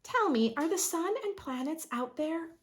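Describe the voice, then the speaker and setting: A woman reading a picture book aloud to young children in an expressive, instructive way, as a read-aloud host. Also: high voice, normal speed. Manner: expressive, instructive, asks listeners questions.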